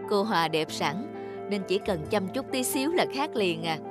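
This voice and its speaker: A woman's voice: medium pitch, animated, moderate pace, close to an everyday voice. A woman voicing a humble rural housemaid and nanny in a cartoon, modest, deferential and soothing. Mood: bashful. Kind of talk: animated cartoon dialogue. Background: music.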